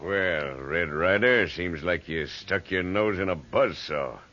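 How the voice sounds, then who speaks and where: An actor playing a scheming, gloating western swindler with folksy taunts, in old-time radio acting. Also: male voice, sits low, slow pace, sharp tone, full character voice. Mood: rabble-rousing. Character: scheming, sneering male western villain, gloating land swindler. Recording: muffled old radio recording.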